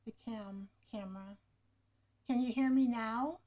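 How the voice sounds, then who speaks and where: A woman with a soft, low voice hosting a relaxed craft-along show, chatting to herself as she works, picked up by a camera microphone right in front of her. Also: unhurried. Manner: soft, low-voiced, thinking aloud while working.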